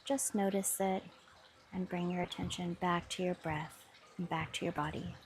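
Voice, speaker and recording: A woman guiding a mindfulness body scan meditation with soft, soothing instructions. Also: fairly low pitch, fairly even intonation, normal speed, a little hiss or hum. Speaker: meditation guide. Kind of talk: guided meditation.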